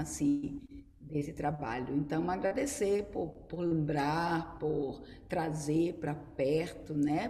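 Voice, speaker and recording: A middle-aged woman with a Bahian Brazilian accent talks casually in a deep register. Her delivery is slow and unhurried. The recording has long reverb and faint noise around her. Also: creaky, dark tone. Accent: Bahian Brazilian Portuguese. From Salvador, Bahia, Brazil. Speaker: guest, dance teacher and choreographer. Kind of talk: casual spoken introduction in an online conversation.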